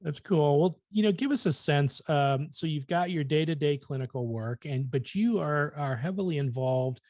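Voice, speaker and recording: A man's voice: fairly high pitch, moderate pace, darkish tone, no room sound, studio quality. A man hosting a podcast, chatting casually as he introduces and interviews his guest. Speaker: podcast host.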